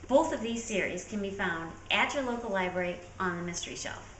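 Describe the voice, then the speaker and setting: A woman's voice: mid-range voice, a little fast, a bit bright. A woman speaking casually to viewers as an author presenting a trivia question. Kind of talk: casual talk to camera. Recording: echoey room with faint background noise.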